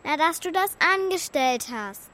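A woman's voice: extremely high pitch, lively intonation, unhurried, pushed and bright, heavily acted. A female performer in a children's radio play playing a determined, impatient young girl who speaks in a direct, childlike way. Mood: reproachful.

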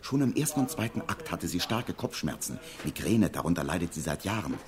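An actor playing a harried, plain-spoken theatre stage manager in a German radio crime drama, slightly flustered and hesitant. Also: male voice, low voice, sing-song, a little fast, a crowd in the background. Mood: baffled.

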